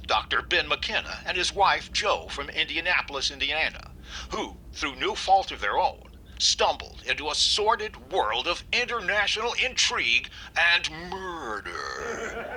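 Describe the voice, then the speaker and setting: An actor playing a self-important veteran police chief inspector, narrating a radio detective parody in a formal, deadpan way. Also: male voice, a little high, background noise.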